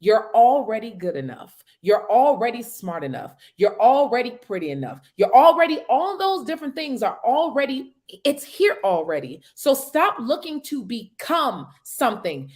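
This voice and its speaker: A woman in her thirties talks like a preacher about success and mindset, with a slightly high voice and firm emphasis. She often drops in "come on" and "mm" to back up what is said. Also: very animated, moderate pace, darkish tone. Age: 30s. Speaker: network marketing mentor. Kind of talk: motivational casual chat.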